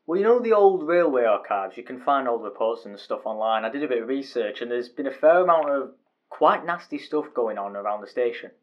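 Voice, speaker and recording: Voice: mid-range voice, animated, brisk, lo-fi sound, natural voice. A performer playing a gossipy, grumbling male railway worker in an amateur audio drama. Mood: sleepy, doubtful. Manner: colloquial, conversational. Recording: faint background noise and ambience.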